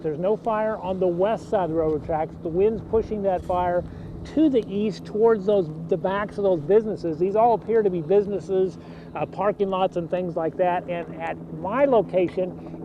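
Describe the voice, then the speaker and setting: A male field reporter with a high voice gives an unscripted live report from outdoors. He speaks hesitantly in starts and stops over a dull feed with loud vehicle and outdoor noise. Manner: hesitant, stop-and-start delivery.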